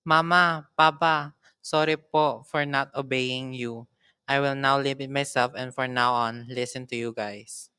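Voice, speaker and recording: A woman's voice: extremely low pitch, steady tone, measured pace, bright, forceful tone, clean tone, no room sound, pitched below the performer's own voice, full character voice. A woman voicing a naughty, whiny young boy who is stubborn and easily scared, in a read-aloud children's story. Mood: sheepish.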